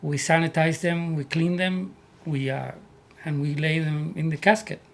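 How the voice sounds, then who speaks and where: A man from Puerto Rico with a Puerto Rican Spanish accent, telling his life story as an interview guest in earnest, halting English. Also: a little high, fairly even intonation, vocal fry, slight background noise. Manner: earnest, repeats words as he searches for English. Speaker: guest, army veteran.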